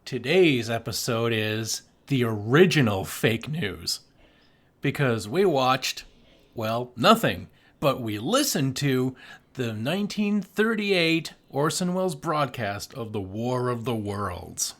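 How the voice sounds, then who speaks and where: A man's voice: medium pitch, animated, slow, fairly bright tone. Wry man co-hosting a casual podcast. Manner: wry, joking. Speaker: podcast co-host. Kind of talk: casual film discussion chat.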